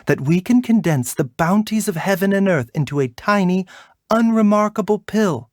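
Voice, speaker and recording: Gentle, high-voiced courteous doctor. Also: male voice, animated, dry recording, clean studio sound. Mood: quietly enthusiastic. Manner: soft-spoken, polite, measured, bedside courtesy. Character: gentle, courteous, composed male herbal doctor, frail yet unhurried. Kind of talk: video game character voice lines.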